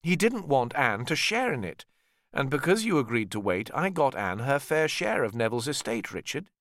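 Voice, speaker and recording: A male narrator plays an authoritative elder brother in a family saga audiobook. The voice is mid-range with lively inflection. He is calm, measured and sure of himself. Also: mid-range voice, no room sound, clean studio sound. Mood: reasoning. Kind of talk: audiobook character dialogue.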